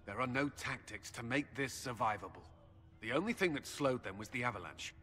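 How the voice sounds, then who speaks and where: An actor playing a grim, resolute military commander in a video game, with firm, clipped, grave delivery. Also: male voice, mid-range voice, a little fast, pushed and bright, lightly acted. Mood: grim urgency. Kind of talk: dramatic video game acting. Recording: long hall reverb, background ambience noise.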